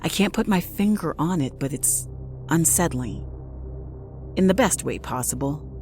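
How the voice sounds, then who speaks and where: A woman playing a solitary, unflappable night nurse, narrating an audio drama in a measured, confiding way. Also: low voice, lively intonation, a little mellow, faint music behind. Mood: wry, ominous. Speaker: actress, narrator. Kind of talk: first-person scripted audio drama monologue.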